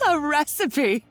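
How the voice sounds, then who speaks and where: Mocking, theatrical villainess. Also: female voice, extremely high pitch, exaggerated voice. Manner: mocking, theatrical, laughing taunts. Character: gloating treacherous villainess, greedy schemer taking a hostage. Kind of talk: video game voice acting.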